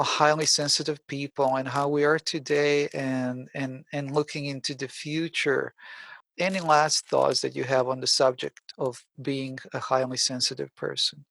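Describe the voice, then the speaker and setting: A man hosting a casual conversational interview, supportive and somewhat halting, often repeating words as he goes. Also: mid-range voice, flat delivery, creaky, a bit bright. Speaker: podcast host.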